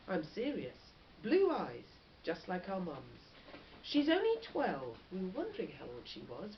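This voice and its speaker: A woman, a teacher reading a story aloud and putting questions to her class in an expressive, encouraging way. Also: fairly low pitch. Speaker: primary school teacher. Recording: dull lo-fi recording with background noise.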